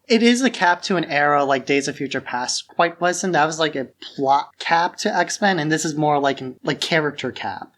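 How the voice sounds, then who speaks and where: A man's voice: on the high side, fairly bright tone. A man co-hosting a podcast, chatting casually about films and giving his opinions. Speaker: podcast co-host. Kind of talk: casual film discussion.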